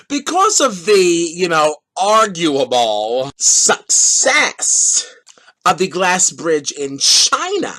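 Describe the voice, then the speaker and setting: A man hosting a casual vlog, talking in a sassy, giggly, animated way while he has a cold. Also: sits high. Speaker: vlog host.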